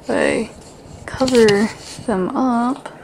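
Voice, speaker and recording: A woman's voice: fairly high pitch, big pitch swings, drawn-out words, creaky, very noisy. A woman vlogger talking casually, as if to viewers of her daily vlog.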